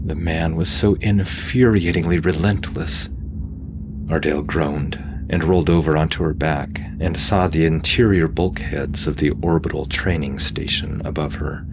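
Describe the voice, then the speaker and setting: A man narrating a scripted story as podcast host and game master, in a theatrical, deliberate way. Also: very deep voice, highs cut off, background noise. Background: clear background music.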